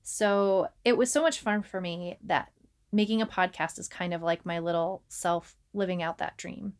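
A woman's voice: on the low side, moderate pace, smooth. A woman talking conversationally as a podcast co-host.

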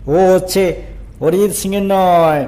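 A man's voice: sits high, steady tone, unhurried, noisy background. A man voicing an outspoken, bossy schoolboy in a comic cartoon. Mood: chummy.

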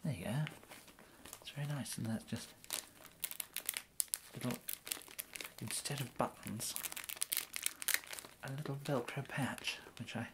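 Soft-spoken English gentleman tailor, played by a man. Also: mid-range voice, takes it slowly, pauses often, heavy background noise. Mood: courteous, pleased. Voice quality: soft, breathy, often slipping into whisper. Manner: hesitant, courteous, murmuring. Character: polite, fussy English gentleman tailor serving a customer. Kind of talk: ASMR roleplay. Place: indoors, plain small room, close to camera.

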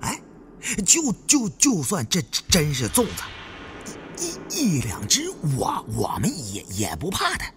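A man's voice: sits high, wildly swooping pitch, slow, over music, heavily acted. A man voicing a brash, foul-mouthed, stammering male tomb raider in an audiobook. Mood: nervous bravado. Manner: heavy stutter, repeating syllables. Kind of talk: audiobook character acting.

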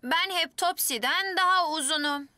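Woman as an earnest, very high-voiced little boy. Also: moderate pace, sharp tone, no room sound, heavily acted. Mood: cheerful presenting. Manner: childlike, earnest. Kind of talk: dubbed children's TV drama. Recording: music underneath.

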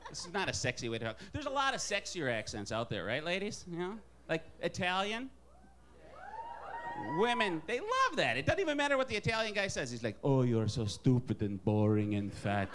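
A man from Wisconsin doing stand-up in a comedy club, with a nasal Wisconsin accent and an animated delivery full of put-on voices. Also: fairly high pitch, fairly quick. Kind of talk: stand-up set. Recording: live club with crowd and noise. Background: audience laughter.

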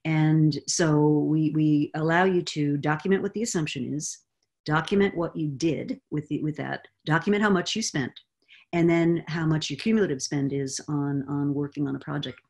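A woman in her sixties explaining with confident, animated energy as guest expert on a video call from a home study. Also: deep voice, normal speed, creaky. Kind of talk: video call roundtable answer. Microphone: webcam on a video call.

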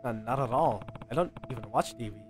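A male streamer with a mid-pitched voice reads game dialogue aloud. He is playful and ad-libs freely, tripping over words now and then. Game music plays faintly behind him. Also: a little fast. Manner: playful, ad-libbing, stumbles while reading. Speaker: livestreamer. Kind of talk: reading game dialogue aloud with casual commentary. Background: video game music.

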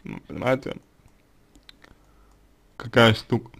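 A man's voice: mid-range voice, takes it slowly, vocal fry, a bit bright. A man giving spoken advice to a listener, hesitant, with many fillers and restarts. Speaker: psychologist answering a question.

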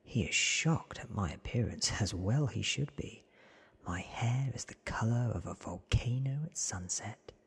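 A man in his thirties reading a story aloud in a hushed, deliberate ASMR whisper, close on the microphone. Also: on the low side, expressive. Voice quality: breathy whisper. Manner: hushed, deliberate, short measured phrases. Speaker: narrator reading a novel aloud. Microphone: close studio microphone, seen.

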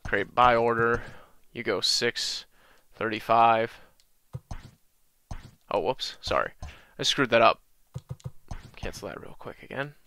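A young man with a slight cold-raspy voice, casually walking through a video game tutorial with lots of ums. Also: mid-range voice, takes it slowly, pauses often. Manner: relaxed, many ums and uhs, talks through menus. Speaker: gaming channel host.

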